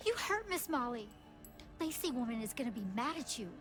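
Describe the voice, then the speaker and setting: Small child, innocent and blunt, highish voice, clear game music underneath. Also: female voice, sing-song, brisk. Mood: wary, accusing. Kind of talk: video game cutscene acting.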